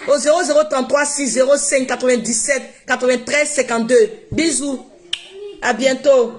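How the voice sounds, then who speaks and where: Woman, non-native French speaker, fairly high voice, emphatic, repetitive, live stream on a phone. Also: fairly even intonation, normal speed. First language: not French. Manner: emphatic, repeats herself. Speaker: social media live streamer. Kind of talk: casual live-stream monologue.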